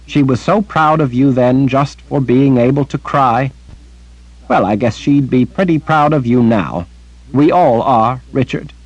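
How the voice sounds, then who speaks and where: A man voicing an older woman, a stern, sharp-tongued sorceress with a scolding, clipped manner. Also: medium pitch, huge pitch swings, unhurried, slightly rough, no echo, highs cut off, voice pushed up, full character voice. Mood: fond.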